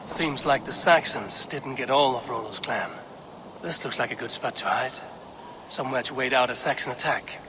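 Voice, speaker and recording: An actor playing a stern Viking warrior questioning suspects in a video game, terse and firm. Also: male voice, medium pitch, normal speed, noisy background, close to an everyday voice. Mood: musing. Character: stern, probing Viking warrior investigating a betrayal. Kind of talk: video game dramatic acting.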